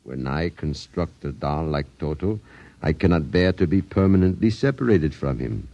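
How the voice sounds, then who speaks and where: A man's voice: very deep voice, lively intonation, normal speed, mellow, no room sound. A man playing a mysterious old dollmaker in 1950s radio drama, with a pompous, theatrical delivery. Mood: grandiose. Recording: muffled 1950s radio recording, music behind.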